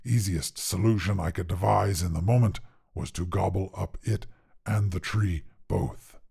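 A man voicing a huge, smug, erudite carnivorous dinosaur in a story, with a gravelly, husky growl and pompous, formal phrasing. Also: low-pitched, measured pace, dark tone, voice pushed down, full character voice. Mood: smug, dry. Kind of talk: character voice in narrated short fiction.

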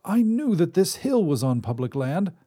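A man's voice: on the high side, very animated, moderate pace, mellow, slightly rough, pitched above the performer's own voice. A man voicing an old male wizard with a reedy voice who talks politely and apologetically. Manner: polite, contrite, eager to please. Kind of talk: storybook reading.

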